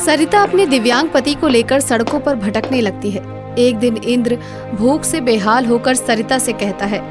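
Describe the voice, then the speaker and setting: A woman's voice: a little high, normal speed, over music. A woman narrating a scripted moral story as the storyteller.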